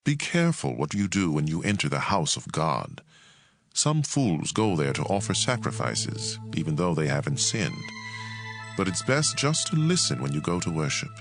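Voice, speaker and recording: A man narrating scripture as a dramatized audiobook, in a measured, expressive and emphatic delivery. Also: very deep voice, creaky, over music.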